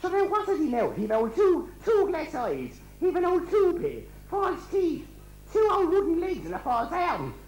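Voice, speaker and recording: A man's voice: extremely high pitch, sing-song, moderate pace, darkish tone. A man playing a gossipy, scolding Irish countrywoman in an amateur comic pantomime, rambling and full of exclamations. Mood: confiding, gossipy. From Ireland. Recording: echoey amateur stage recording.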